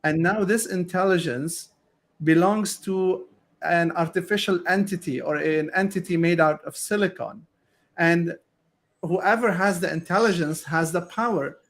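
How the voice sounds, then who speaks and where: A man's voice: high voice, measured pace. A man hosting a podcast who asks questions and comments earnestly, often restarting his sentences. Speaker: podcast host.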